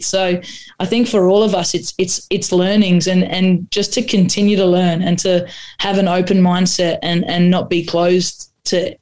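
A young adult woman with an Australian accent, a Croatian native speaker, answering interview questions with many restarts and fillers. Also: on the low side. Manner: flat delivery, frequent restarts and fillers. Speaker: guest, AFLW footballer.

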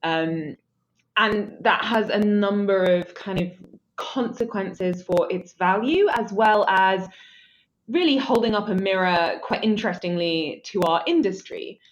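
A woman's voice: medium pitch, unhurried, a little hiss or hum. Dry-witted woman analyst, muffled audio. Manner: articulate, dry-humored, thinks aloud with repeats. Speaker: threat intelligence analyst. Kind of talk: conversational analysis.

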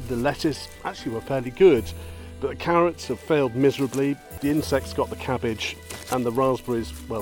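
A British man hosts a local magazine podcast. His voice is of medium pitch. Faint music can sit behind him. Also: darkish tone. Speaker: podcast host.

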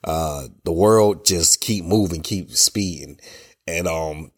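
A low-pitched American man talks solo on his podcast. His voice is congested and rough from a cold. He speaks in a loose, colloquial way, laying out opinions with emphatic repetition. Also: takes it slowly. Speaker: podcast host. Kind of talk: casual solo podcast talk, opinionated commentary.

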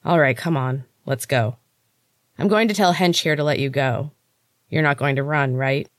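A woman voicing a menacing, condescending man in an audiobook story, with a soft, taunting delivery. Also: a bass voice, normal speed, dry recording, studio quality. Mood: impatient threat. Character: menacing, smug man, a cruel captor. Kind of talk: audiobook character dialogue.

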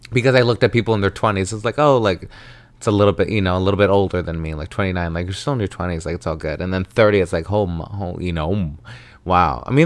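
Man, 30, from the American South, solo podcast host; low, smooth, dry-miked, chatty and dramatic. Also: dry recording. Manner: chatty, animated, self-dramatizing with frequent tangents.

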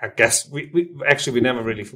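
A man from Switzerland, a native German speaker with a Swiss German accent, explaining things informally and haltingly as an interview guest, often rephrasing himself. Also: medium pitch, expressive, bright, forceful tone. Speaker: software company representative, interview guest. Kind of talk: conversational product interview.

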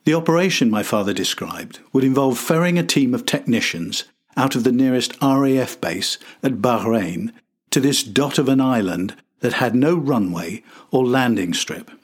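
An older man reads scripted documentary narration as a podcast host. His voice sits at an ordinary pitch and has a husky, slightly rough edge. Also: animated, normal speed.

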